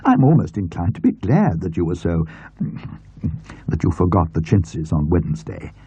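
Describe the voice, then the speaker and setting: A man voicing a gushing, imperious older English gentlewoman, an effusive aunt in an audiobook story. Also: low-pitched, sing-song, moderate pace, breathy edge, no room sound, heavily acted. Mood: delighted, bossy. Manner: effusive, emphatic. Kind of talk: audiobook character voice.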